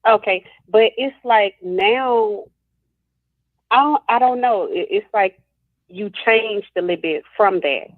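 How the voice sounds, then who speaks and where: A woman's voice: medium pitch, takes it slowly, no echo. A woman calling in to a talk show, speaking hesitantly with many fillers. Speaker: caller. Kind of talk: phone call-in. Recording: phone line.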